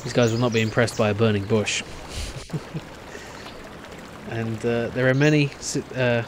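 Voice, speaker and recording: A man's voice: medium pitch, moderate pace, background noise. A man giving casual, hesitant let's play commentary, thinking aloud as he plays a game. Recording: game music behind the voice. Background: video game music.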